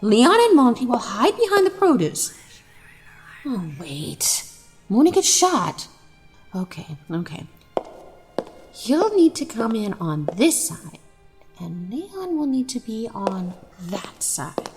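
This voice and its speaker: A woman's voice: fairly high pitch, very animated, slow, pauses often, soft background music. A woman playing an encouraging, focused drama director in audio drama dialogue. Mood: absorbed, muttering.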